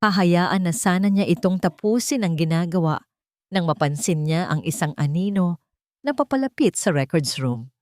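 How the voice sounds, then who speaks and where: A woman's voice: on the low side, expressive, moderate pace, a little mellow, dry recording. Dry-voiced woman narrating drama. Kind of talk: scripted dramatic story narration.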